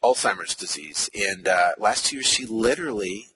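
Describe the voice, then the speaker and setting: A man's voice: on the low side, animated, normal speed, pushed and bright, husky, poor audio. A man in his forties talking casually as a podcast host from his car, rambling with many fillers and restarts. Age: 40s. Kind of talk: casual monologue.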